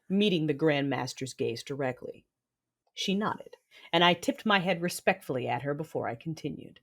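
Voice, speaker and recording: A woman acts out a proud Sith warlord mother in a space-opera audio drama. She is commanding and intense, with a vengeful temper, and she tells her own story in the first person. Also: low voice. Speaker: narrator and lead character.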